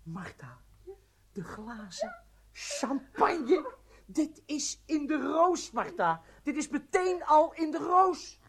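An actor playing a determined newlywed husband and secret agent in a Dutch radio play, speaking courteously and with deliberate emphasis. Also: male voice, very high voice, huge pitch swings, slight background noise, full character voice. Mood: secretly elated.

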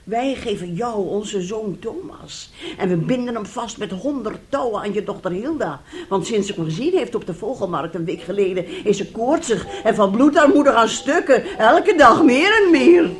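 A woman's voice: a little high, animated, normal speed, hardly any pauses, close to an everyday voice. An actress in a radio drama playing a bitter, sarcastic middle-aged wife and mother who talks reproachfully and theatrically. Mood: bitter mockery. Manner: reproachful, sarcastic, dramatic, swinging between hushed and loud. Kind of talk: radio drama acting in Dutch.

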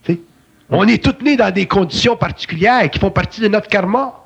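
Québécois man, gravelly husky voice, fairly high pitch, emphatic lecturing, dull lo-fi sound. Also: brisk. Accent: Québécois French. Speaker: spiritual speaker. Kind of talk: philosophical conversation.

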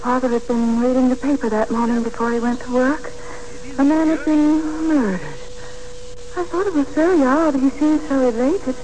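A woman's voice: sits high, normal speed, dark tone, close to an everyday voice. A woman playing an anxious, lonely, evasive daughter in 1940s radio drama, speaking in a hesitant, vague, fretful way. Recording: noisy old 1940s radio broadcast.

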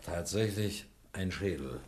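Plain-spoken police inspector, roomy sound. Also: male voice, deep voice, a little slow, heavy background noise. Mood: sceptical. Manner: matter-of-fact, questioning, colloquial. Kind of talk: German detective radio play acting.

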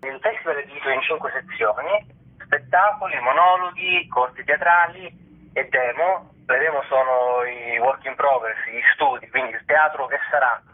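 A man's voice: mid-range voice, moderate pace, slightly rough. A man explaining things as a radio interview guest over the phone, often restarting his phrases. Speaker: festival director, interview guest. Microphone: telephone call into radio show. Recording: tinny, narrowband phone line.

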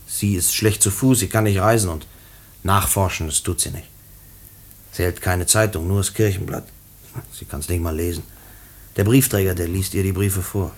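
An actor playing a condemned prisoner in a German radio play, earnest and stubborn, speaking haltingly and pleading, often repeating his words. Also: sits low, husky.

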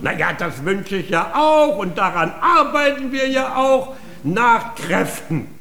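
A worldly, self-important music master with an eye on money. His voice is strikingly high for a man, with theatrical pomp. It is a radio comedy recorded with a roomy sound and faint noise. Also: big pitch swings, slow pace, pushed and bright, heavily acted. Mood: teasing. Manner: pompous, theatrical. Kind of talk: German radio play, theatrical comedy acting.

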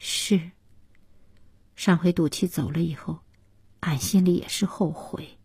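Audiobook role: plain-spoken village woman acted by a female reader, quietly resolute, soft-toned and husky. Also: on the low side, fairly even intonation, measured pace, no echo, exaggerated voice. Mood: subdued, frank. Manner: rustic, frank, subdued. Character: Xiaoxia, plain-spoken divorced rural mother, subdued and resolute.

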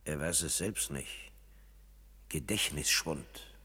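An actor playing a gossipy, plain-spoken veteran court constable in a German radio play, talking in a folksy way. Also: male voice, very deep voice, slow, bright, forceful tone, slightly rough, heavily acted. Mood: confiding. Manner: colloquial, folksy. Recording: long reverberant hall.

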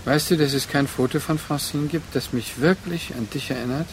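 An actor in a literary radio drama playing a brooding man who addresses his remembered former lover in halting, reflective phrases. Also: a little high, lightly acted. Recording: clear noise behind the voice.

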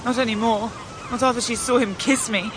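A woman's voice: a little high, fairly even intonation, fairly quick, background noise, natural voice. An actress playing a distraught, wounded young woman in a radio drama, anguished and accusing. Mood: miserable. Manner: anguished, emotional.

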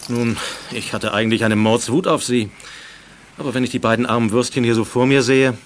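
A man's voice: medium pitch, fairly even intonation, brisk, natural voice. A man playing a stern but fair middle-aged director of a youth village in a children's radio drama, speaking formally and with authority. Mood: wry, firm. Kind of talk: 1980s German children's radio drama acting.

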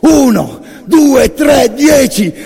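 A man performs dramatic storytelling for radio theatre in a very husky, gravelly voice. His delivery is slow and intense, built on incantatory repetition and pauses. The sound carries a long, hall-like reverb. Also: extremely high pitch, animated, warm tone. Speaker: actor narrating a stage monologue.

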